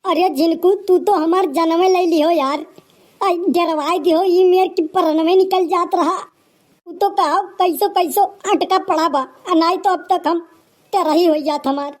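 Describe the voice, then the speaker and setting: Very high-pitched cheeky Awadhi boy. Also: fairly even intonation, a little slow. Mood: relieved chatty. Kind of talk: comic rustic cartoon dialogue.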